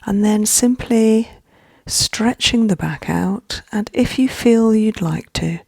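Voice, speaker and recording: A woman speaks very breathily and gently, guiding a relaxation in soft-spoken ASMR style. Her pace is very slow, with fry creeping into the hushed, often whispered delivery. She sounds soothing throughout. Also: medium pitch, dry recording. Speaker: relaxation guide.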